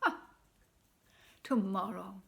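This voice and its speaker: A woman's voice: medium pitch, extremely slow, gravelly, a bit bright, heavily acted. A woman playing a cheerful, carefree poor cobbler. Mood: easygoing. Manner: laughing, easygoing, unworried.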